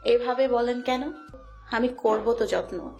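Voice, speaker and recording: A woman playing a shy, gentle young wife and caring mother in a romantic audio drama. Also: on the high side, takes it slowly, vocal fry, faint music behind. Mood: shy, pouting. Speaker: voice actor in audio story.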